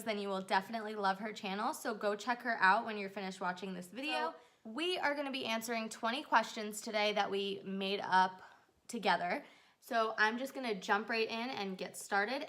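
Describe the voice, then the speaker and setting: A woman's voice: on the high side, a bit bright. A woman in her twenties from New York, chatting casually to her channel viewers in a get-to-know-me vlog, rambling with fillers. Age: 20s. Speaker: YouTuber.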